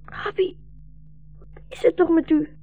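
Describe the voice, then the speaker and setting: Hoog, angstig jongetje , gespeeld door een vrouw. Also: female voice, extremely high pitch, fairly even intonation, measured pace. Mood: fearful, pleading. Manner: pleading, childlike. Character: young orphaned boy, anxious, devoted, trusting. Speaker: radio play actor. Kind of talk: 1960s radio drama acting. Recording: muffled 1960s radio broadcast recording.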